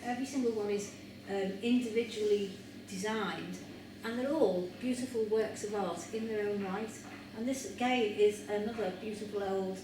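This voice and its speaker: A woman giving an informal, explanatory guided tour of a house, speaking with some hesitation. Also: mid-range voice. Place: large room inside an old house. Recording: echoey room, distant mic, background noise.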